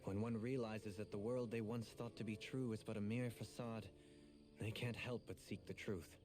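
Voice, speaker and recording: Casting: male actor as eloquent anime-game nobleman, composed and formal, lowish voice, prominent music underneath. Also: fairly even intonation, moderate pace, close to an everyday voice. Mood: grave, pondering. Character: composed, scholarly young nobleman pondering a mystery. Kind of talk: anime video game voice acting.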